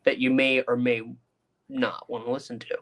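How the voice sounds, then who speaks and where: A man's voice: mid-range voice, flat delivery, moderate pace, a bit bright. A man co-hosting a casual review chat, giving his opinions with emphasis. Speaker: podcast co-host reviewing audio dramas.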